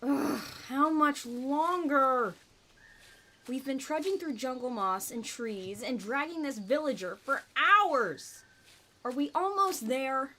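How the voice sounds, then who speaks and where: Higher-pitched, petulant huntress played by a woman. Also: lively intonation, slow pace, bright, forceful tone, exaggerated voice. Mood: whiny. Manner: complaining, petulant. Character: whiny, greedy, superstitious young huntress woman. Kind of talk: audio roleplay drama.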